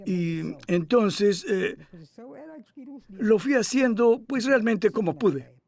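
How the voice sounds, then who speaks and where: A man dubbing an elderly male scholar who recalls his past calmly, in measured speech with hesitant pauses. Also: sits high. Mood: reflective. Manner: measured, thoughtful, with hesitant fillers. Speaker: dub voice of an interviewee. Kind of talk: voice-over dub of an interview.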